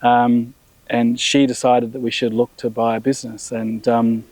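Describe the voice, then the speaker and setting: Relaxed businessman, dry close sound. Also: medium pitch, moderate pace, dry recording, rough recording. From Australia. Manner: relaxed, rambling with many restarts and fillers. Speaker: brand founder and CEO. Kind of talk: casual interview.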